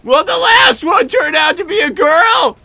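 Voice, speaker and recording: A man's voice: very high-pitched, very animated, unhurried, bright, forceful tone, dry recording, soft background music, heavily acted. A man voicing a flustered, defensive young cartoon fox boy with a cracking voice and a stammering, exasperated manner, in an improvised comedy livestream. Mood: exasperated shouting. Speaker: livestream co-host in character.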